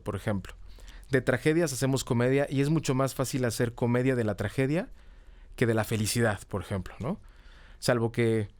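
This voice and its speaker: A man's voice: mid-range voice, dry recording. Mexican man chatting in a dry recording. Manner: explanatory, often ends phrases with tag questions. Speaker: podcast guest, comedy teacher and writer. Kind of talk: casual conversational interview answers.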